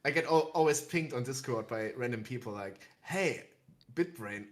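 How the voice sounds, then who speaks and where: A man's voice: mid-range voice, lively intonation, moderate pace. A man with a German accent, a guest on a casual game-development stream, speaking with enthusiasm and frequent fillers. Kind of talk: casual chat.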